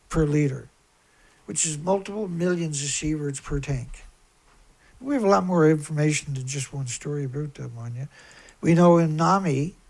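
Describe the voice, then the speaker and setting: A man with a squeaky voice hosting a live stream in a rambling, blunt, aggrieved and emphatic monologue that often repeats itself. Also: a little mellow, low-quality recording. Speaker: live stream host, environmental activist.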